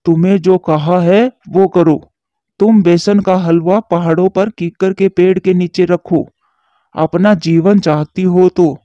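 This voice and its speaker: Cartoon villain crow, man voicing, high and menacing, lo-fi dry audio. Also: fairly even intonation, no room sound. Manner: menacing, slow threats over the phone. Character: scheming male crow villain, threatening bully.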